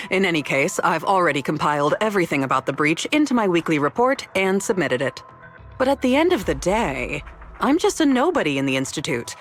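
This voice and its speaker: A woman voicing a young female researcher in a video game, speaking formally and precisely with dry, quiet evasiveness. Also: sits low. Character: young female researcher, composed, wry, quietly rule-bending. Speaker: researcher character. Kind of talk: scripted video game dialogue.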